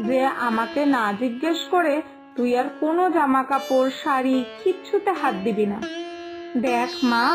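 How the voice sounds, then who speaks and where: A woman playing a poor, loving village mother in an animated moral story, tender and lamenting as she gently scolds. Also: high-pitched. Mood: gently firm. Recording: music behind the voice.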